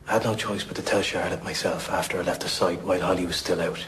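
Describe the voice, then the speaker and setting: A man playing an evasive, self-justifying suspect in his thirties, speaking haltingly in a crime drama. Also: sits low, steady tone, fairly bright tone, a little hiss or hum. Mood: defensive, pleading. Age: 30s. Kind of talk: naturalistic British crime drama acting.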